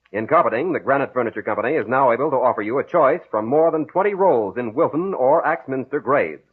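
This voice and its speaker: Animated American old-time radio announcer man. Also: medium pitch, husky. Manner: formal scripted sales and credit reading. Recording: phone-like old radio recording, music.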